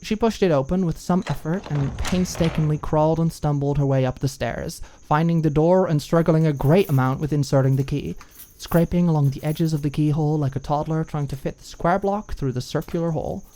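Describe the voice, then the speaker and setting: A woman reading a scripted story as an audiobook narrator, in an expressive storytelling style. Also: low-pitched, fairly quick.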